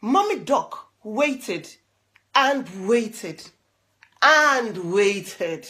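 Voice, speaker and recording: Animated, bright-voiced woman reading a storybook. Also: medium pitch. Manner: dramatic, animated, with drawn-out repeated words. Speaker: storyteller reading a picture book aloud. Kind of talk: expressive children's storytime reading with asides.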